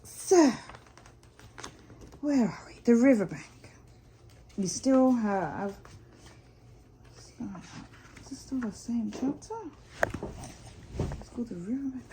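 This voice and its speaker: A woman's voice: fairly high pitch, expressive, slow pace, long pauses, noisy background. A woman storyteller reading a children's storybook aloud. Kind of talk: storybook narration read aloud.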